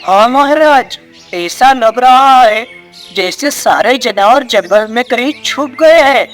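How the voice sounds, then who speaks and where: Man acting a slow-spoken dutiful royal minister. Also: very high voice, expressive, fairly bright tone, faint music behind, full character voice. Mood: deferential. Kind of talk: dramatised Hindi moral story.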